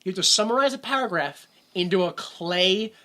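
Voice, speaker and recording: A man's voice: high-pitched, expressive, a little fast, sharp tone. A man co-hosting a casual movie podcast, rambling with sarcastic asides. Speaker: podcast co-host.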